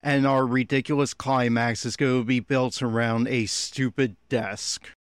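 A man's voice: medium pitch, flat delivery, a little slow, smooth, dry recording, clean studio sound. A man giving blunt, exasperated commentary as he reacts critically to an anime episode. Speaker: podcast host giving audio commentary.

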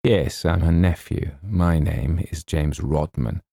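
A man's voice: a bass voice, slow, vocal fry, dark tone, no echo, studio quality, exaggerated voice. A man voicing a stiff, scornful male writer of detective stories in a storybook, cold and stern in manner. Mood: cold disdain. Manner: cold, stern, clipped disdain.

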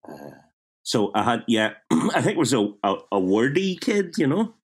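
A man's voice: mid-range voice, very animated, normal speed, breathy edge. A man in his fifties with a Belfast accent, a writer telling wry, self-mocking stories from his childhood in a casual interview, often restarting his sentences. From Northern Ireland. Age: 50s.

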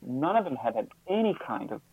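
Male interview guest, mid-pitched, thoughtful and halting delivery, narrow muffled phone-style sound. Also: sing-song, slightly rough, no echo. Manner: halting, earnest, repeats words as he thinks. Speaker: guest author and social scientist. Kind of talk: reflective interview talk.

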